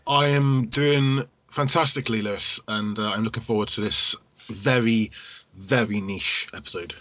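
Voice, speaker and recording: A man's voice: fairly low pitch, steady tone, normal speed, a bit bright, dry recording, muffled recording. A man co-hosting a podcast, chatting casually in a hesitant, joking way. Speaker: podcast co-host. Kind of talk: casual chat.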